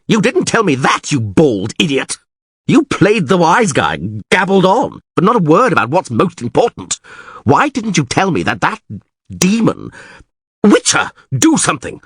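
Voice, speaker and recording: A man's voice: mid-range voice, huge pitch swings, normal speed, fairly bright tone, slightly rough, no room sound, clean studio sound, voice pushed up, heavily acted. A man voicing a blustering, foul-mouthed town mayor in a fantasy storybook, bossy and exasperated. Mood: panicked fury.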